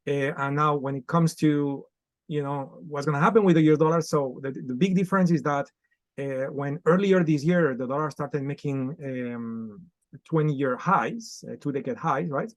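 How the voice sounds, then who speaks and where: A man's voice: on the high side, moderate pace, no room sound. A man on a video call, explaining markets as a guest in a podcast interview, with many fillers and restarts. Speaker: guest trader.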